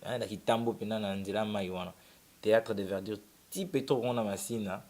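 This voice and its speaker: Young Congolese musician, low relaxed voice. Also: male voice, moderate pace. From Congo-Kinshasa. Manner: relaxed, rambling, with many restarts. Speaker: musician guest. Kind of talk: casual interview, mixing French and Lingala.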